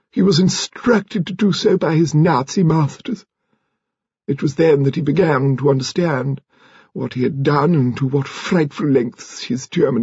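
A man voicing an imperious, frank, stout elderly English noblewoman, speaking in a grand, emphatic, deliberate way for an audiobook. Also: fairly high pitch, a little mellow, husky, dry recording, lo-fi sound, pitched above the performer's own voice.

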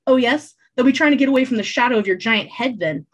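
A woman voicing a sulky teenage boy who answers tartly and whines, with a slightly husky tone. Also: fairly high pitch, quick pace, highs cut off, voice pushed up, natural voice. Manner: whiny, sarcastic.

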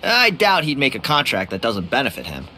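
A man's voice: mid-range voice, huge pitch swings, fairly quick, bright, forceful tone, a little hiss or hum, full character voice. An actor playing an upbeat young tribesman in a game, chatty, casual and earnest. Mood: musing. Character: upbeat young tribesman, eager amateur relic hunter. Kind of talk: English game voice acting. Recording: studio voice over game music.

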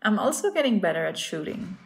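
A woman's voice: mid-range voice, big pitch swings, fairly quick, creaky. A woman playing a playful, game-obsessed young girlfriend in an ASMR roleplay, talking straight to the listener. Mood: chatty, amused. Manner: playful, pleading, talks to the listener as "babe".

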